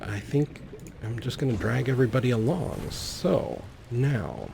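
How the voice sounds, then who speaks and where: A man's voice: lowish voice, creaky, darkish tone, slight background noise. A man giving casual, easygoing gameplay commentary, talking through his actions step by step. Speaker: let's play host.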